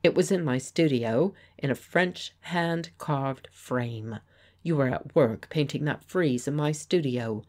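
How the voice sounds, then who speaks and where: A woman reading the part of a pleasant, confident gentleman painter who speaks with quiet authority. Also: extremely low pitch, slow pace, full character voice. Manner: pleasant, firm, persuasive. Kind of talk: audiobook character voice.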